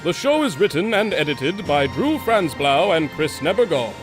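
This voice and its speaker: Male announcer, old-time radio style, high lively read, music under. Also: moderate pace. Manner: bright, theatrical.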